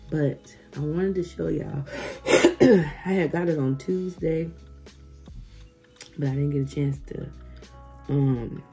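A woman in her thirties talks to her viewers from her living room, camera at arm's length. Her voice is low, dark and gravelly, and she chats warmly, calling her viewers "y'all" often. Faint music plays in the background. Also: slow pace, long pauses. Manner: chatty, says "y'all" often, warm exclamations over gifts. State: recovering from illness. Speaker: vlogger. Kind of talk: casual vlog chat. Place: living room at home, indoors.

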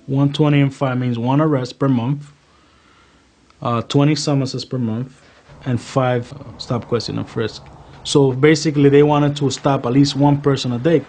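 A man from the Dominican Republic, a police officer in his thirties, giving earnest, measured testimony in a documentary interview and repeating phrases for emphasis. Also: medium pitch, fairly even intonation, darkish tone, slight background noise. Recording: music bed playing behind voice. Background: guitar music.

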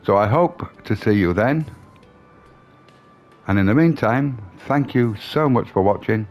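A man's voice: fairly low pitch, animated, a little slow, a little mellow, soft background music. A man giving casual video game commentary as the host of a gaming channel.

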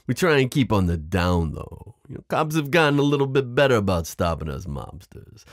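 A man voicing a smooth, possessive mafia boss in an ASMR roleplay, menacing yet protective, teasing the listener with pet names. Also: medium pitch, sing-song, a little fast, no echo, clean studio sound, pitched above the performer's own voice, lightly acted. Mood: controlled, teasing. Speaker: audio roleplay performer. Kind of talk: ASMR roleplay drama acting.

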